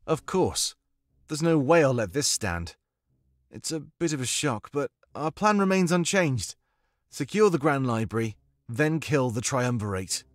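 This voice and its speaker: A man's voice: medium pitch, sing-song, a little slow, no room sound, clean studio sound, pitched above the performer's own voice. A man voicing a resolute, earnest male rebel leader in a furry visual novel, speaking with firm, rallying delivery. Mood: determined.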